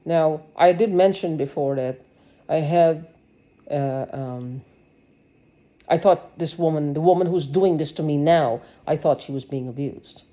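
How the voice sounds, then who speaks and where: A woman's voice: low voice, unhurried, dark tone. A woman giving a rambling personal video monologue, agitated and sarcastic, swearing and restarting her sentences. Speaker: vlogger.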